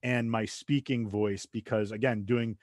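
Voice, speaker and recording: A man's voice: lowish voice, steady tone, unhurried, darkish tone, dry recording, clean studio sound. A man from southeastern Massachusetts hosting a podcast, with a light Massachusetts accent and a rambling, loose way of talking full of asides. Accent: light Massachusetts, drops R's when fast. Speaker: podcast host. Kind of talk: casual interview chat.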